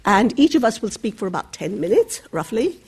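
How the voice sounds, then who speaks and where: Breathy woman chairing a public panel. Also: fairly high pitch, very animated, darkish tone. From Bangladesh. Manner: measured, formal introductions with occasional fillers. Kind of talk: introducing panelists at a public lecture.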